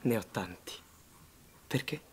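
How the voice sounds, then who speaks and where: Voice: mid-range voice, expressive, slow. An actor dubbing a young man desperately in love in a period film drama, pleading, stubborn and intense. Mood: guarded, tense. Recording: film dub with faint background noise.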